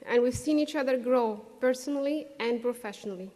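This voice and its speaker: Middle-aged woman, English as a second language, earnest convocation speaker, slightly high voice, long hall reverb. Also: mellow. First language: not English. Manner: earnest, measured, with pauses for emphasis. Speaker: graduation speaker. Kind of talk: prepared convocation speech. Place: large hall. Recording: distant echoey hall recording.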